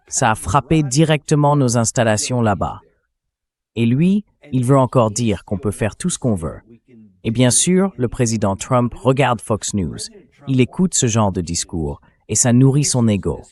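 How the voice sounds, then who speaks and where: A male host delivers news commentary as a French voice-over, with a mid-pitched voice. He argues critically and rhetorically, with an incredulous edge. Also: a little mellow.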